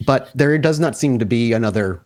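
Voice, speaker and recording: A man narrating a tabletop horror game as storyteller, with descriptive, deliberate phrasing. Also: medium pitch, expressive, a little mellow.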